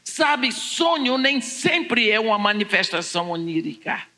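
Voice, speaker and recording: An older Brazilian woman giving a personal testimony as a preacher, telling it deliberately and dramatically in short phrases. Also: fairly high pitch, very animated, sharp tone. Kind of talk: personal testimony told to a congregation. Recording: echoing large hall, long reverb.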